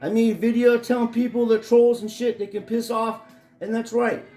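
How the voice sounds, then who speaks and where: A middle-aged man with a hoarse voice, ranting angrily and profanely in a rambling home vlog. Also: very high-pitched, level tone, normal speed, mellow, roomy sound, soft background music. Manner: angry, profane, rambling rant. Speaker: vlogger. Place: his home in Florida.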